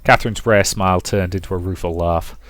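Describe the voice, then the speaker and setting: A man's voice: sits low, fairly even intonation, a little fast, a bit bright, no room sound. A man who reads a visual novel aloud as a let's play host, mixing story narration with casual asides.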